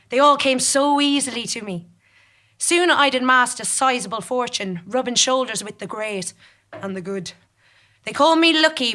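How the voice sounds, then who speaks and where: A woman's voice: fairly high pitch, pushed and bright. A woman performing a scripted comic stage monologue as a ruthless, competitive gambler, with dry, dramatic storytelling. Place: theatre stage in front of an audience.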